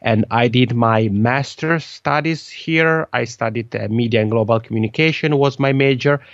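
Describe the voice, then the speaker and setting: A man's voice: medium pitch, moderate pace, no echo. Swiss italian guy talking on a podcast, keeps restarting his sentences, dull muffled sound, dry. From Italian-speaking Switzerland. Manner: lively, repeats words, restarts phrases often. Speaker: podcasting consultant guest. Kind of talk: conversational interview.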